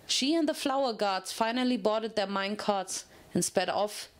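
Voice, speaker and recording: A woman's voice: medium pitch, measured pace. Woman narrating a dramatic story.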